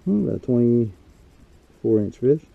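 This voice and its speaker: A middle-aged man hosting a fishing video, talking in casual, excited banter out on an open boat, picked up by an action camera on the deck. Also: medium pitch, a little slow, gravelly, phone-quality sound. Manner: excited, exclaiming, slangy. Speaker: fishing channel host. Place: open boat on a lake, outdoors. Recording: loud wind and water noise.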